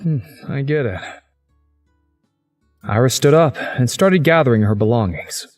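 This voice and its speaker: A man's voice: medium pitch, expressive, measured pace, a little mellow, faint music behind, lightly acted. A man playing a wry teenage schoolboy who narrates his own supernatural visual novel story. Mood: curious. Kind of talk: first-person visual novel narration and dialogue.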